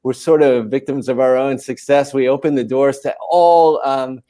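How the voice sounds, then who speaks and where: Male panel guest, American accent, mid-range pitch, unscripted conversational storytelling. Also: steady tone, moderate pace, mellow. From New York City, USA. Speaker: panel guest, managing editor. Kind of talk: conversational personal account.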